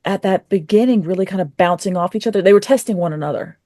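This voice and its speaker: Female podcast co-host, soft-edged mellow timbre, casual discussion, dry close recording. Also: medium pitch, fast, no room sound.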